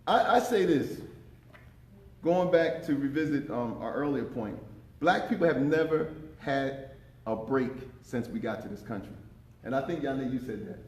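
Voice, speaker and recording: A man in his fifties with a deep voice, speaking on a conference panel in an impassioned, emphatic way, repeating words as he presses his points. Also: animated, normal speed, darkish tone. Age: 50s. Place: conference room. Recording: echoey conference room.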